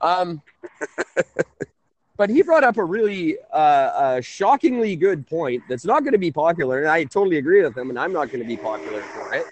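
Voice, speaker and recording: A man hosting a conversational call-in radio show, halting and often interrupting himself. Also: a little high, very animated. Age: 30s.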